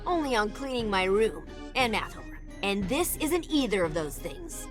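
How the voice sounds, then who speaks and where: A woman's voice: mid-range voice, very animated, normal speed, over music, lightly acted. A woman voicing a cocky, playful young boy hero in a cartoon game, with flippant delivery. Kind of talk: animated cartoon game acting.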